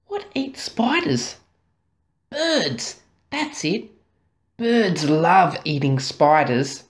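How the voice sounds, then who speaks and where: A woman voicing a curious, excitable and easily alarmed young boy in a storybook reading. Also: sits low, very slow, exaggerated voice. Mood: scheming. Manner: gasping, exclaiming.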